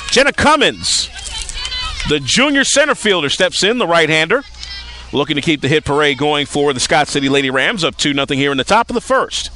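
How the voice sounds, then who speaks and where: Bright, high-pitched male sports announcer. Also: slight background noise. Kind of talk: live softball play-by-play commentary. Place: outdoors at a softball field. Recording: outdoor ballfield broadcast.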